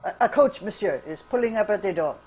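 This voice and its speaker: A woman's voice: medium pitch, animated, brisk, darkish tone, lightly acted. A woman playing a cowed, reluctant French citizen in an amateur radio play, nervous and hesitant. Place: remote virtual table read.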